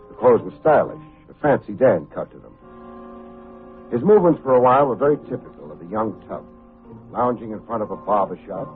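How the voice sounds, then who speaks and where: An actor playing a cool, wry, hard-boiled private investigator who talks and narrates in old-time radio drama style. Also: male voice, fairly low pitch, lightly acted. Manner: wry, unhurried, wisecracking. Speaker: actor playing the lead detective, also narrating. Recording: muffled narrow-band old radio recording, faint music.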